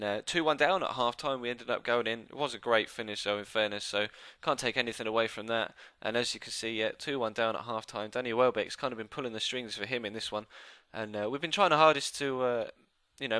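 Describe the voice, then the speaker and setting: A man talks his way through a football match he played, his voice bright and crisp with a slightly stuffy, cold-coming-on nasal edge. He rambles casually with plenty of "uh" fillers, close to a home microphone with a dry, unechoing sound. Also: medium pitch, no room sound. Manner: chatty and relaxed, many "uh" fillers and restarts. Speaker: gameplay video commentator. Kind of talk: casual recorded commentary over football game footage. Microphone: new desk microphone.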